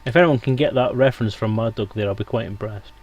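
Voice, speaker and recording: A man's voice: on the low side, fast talker, darkish tone. A man hosting a livestream in casual, chatty, friendly banter with guests. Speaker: stream host. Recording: clear background noise.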